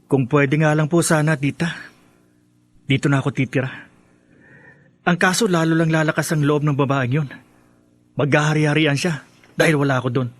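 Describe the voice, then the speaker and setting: A man's voice: a little high, fairly even intonation, moderate pace, long pauses, dry recording, lightly acted. An actor playing a bitter, defiant young man who despises his stepmother in a Filipino radio drama. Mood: aggrieved, stubborn. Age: young adult.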